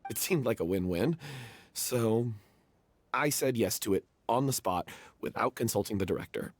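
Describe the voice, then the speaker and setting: An actor playing a young male stage performer confessing a guilty secret, hesitant and then anguished, with a husky voice. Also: lowish voice, lively intonation, normal speed, dry recording. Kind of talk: game voice acting.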